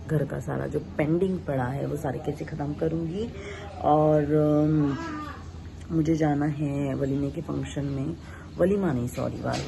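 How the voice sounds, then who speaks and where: A young woman from India talks through her day in a relaxed, chatty way, slipping in English phrases and plenty of fillers. Her voice sits a little low and is hoarse and gravelly from a sore throat. A faint hiss of background noise runs under the recording. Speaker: vlogger. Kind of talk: casual vlog narration.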